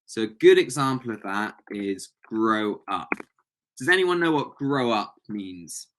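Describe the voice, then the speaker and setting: A man's voice: on the low side, animated, measured pace, fairly bright tone, slight background noise. Man teaching English online. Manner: encouraging, praising students' answers. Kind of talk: online language lesson, explaining and prompting students. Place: online webinar call.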